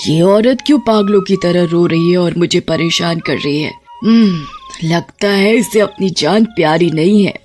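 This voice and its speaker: A woman voicing a witch ghost, gruff but tender underneath, in an animated story. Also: lowish voice, measured pace, soft background music, lightly acted. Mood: angry, menacing. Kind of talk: animated Hindi horror-moral story.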